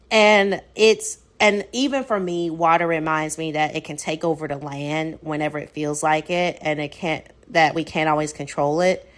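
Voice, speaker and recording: Low-voiced American woman podcasting. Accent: Southern US. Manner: casual, reflective, frequent "like" and "um" fillers. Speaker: podcast host. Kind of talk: solo podcast monologue.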